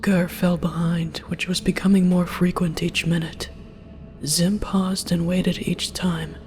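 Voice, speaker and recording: A narrator reading a first-person story aloud in a measured, hushed, breathy delivery. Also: mid-range voice, flat delivery. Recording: music under the voice.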